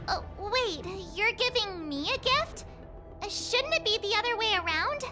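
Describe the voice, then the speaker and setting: A woman's voice: very high-pitched, very animated, measured pace, sharp tone, heavily acted. A woman voicing a cheerful, chatty, naive teenage girl in a game, with a bubbly, earnest delivery and a girlish voice. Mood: puzzled. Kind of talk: game cutscene voice acting. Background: music.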